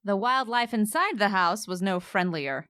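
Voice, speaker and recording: A woman's voice: medium pitch, expressive, moderate pace, a bit bright, close to an everyday voice. An actress playing a wry, exasperated single woman homeowner, narrating and acting out her romantic mishaps in a radio play.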